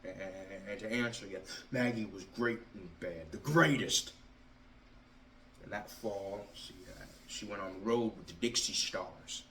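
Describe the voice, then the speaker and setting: A man performing a stage monologue as a bitter, drunken Southern ex-athlete confronting his father, halting and repeating his words. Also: on the low side, fairly bright tone. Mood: wistful, bitter. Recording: roomy, distant mic, faint noise.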